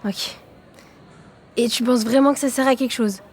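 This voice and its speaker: A woman's voice: a little high, steady tone, normal speed, slightly rough. A woman playing a hurt, doubtful teenage best friend in an audio drama, questioning with reproach.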